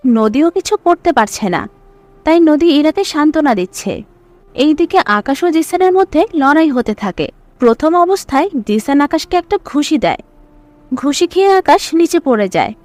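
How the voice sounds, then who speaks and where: A woman's voice: high voice, big pitch swings, moderate pace, darkish tone, no echo. A woman reading scripted story narration as the narrator.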